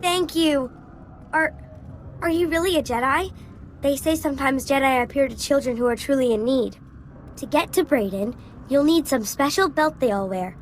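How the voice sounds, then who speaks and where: A woman voicing a frightened, pleading child in a video game, timid and halting in her delivery. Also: sits high, a little hiss or hum, natural voice. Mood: hopeful, pleading. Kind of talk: video game character acting.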